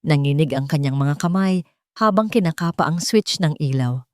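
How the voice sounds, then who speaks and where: A woman's voice: low-pitched, expressive, normal speed, dry recording, clean studio sound. A woman narrating a dramatic scripted love story.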